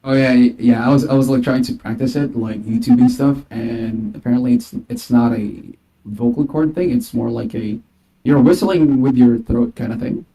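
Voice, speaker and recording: A man's voice: medium pitch, normal speed, dark tone, husky, rough recording. A man appearing as a guest musician, answering in a hesitant way with many fillers. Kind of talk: casual interview talk.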